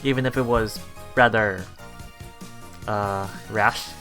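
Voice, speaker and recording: A man's voice: lowish voice, steady tone, slow, a bit bright. A man hosting a let's play, reading visual novel text aloud with casual, musing commentary. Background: game music.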